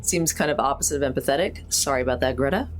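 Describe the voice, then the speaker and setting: A woman's voice: rumbling low, fast, very noisy. A woman chatting casually as a player in a tabletop roleplaying game.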